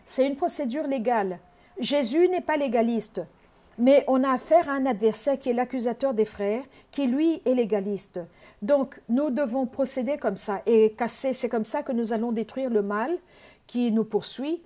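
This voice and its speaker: A woman's voice: fairly high pitch, normal speed, warm tone. A woman from Congo preaching an earnest sermon, teaching with frequent hesitations.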